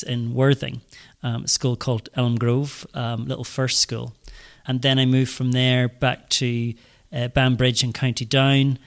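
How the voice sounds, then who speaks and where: A man's voice: medium pitch, fairly bright tone. A Northern Irish man in his forties, a school head, talking eagerly in a podcast interview with lots of ums and restarts. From Northern Ireland. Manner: enthusiastic, many fillers and restarts.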